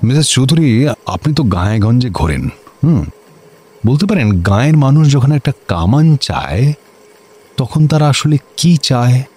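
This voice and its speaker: An actor playing an officious, impatient district magistrate in a comic radio drama, curt and full of questions. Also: male voice, medium pitch, big pitch swings, normal speed, darkish tone, slightly rough. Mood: impatient, baffled.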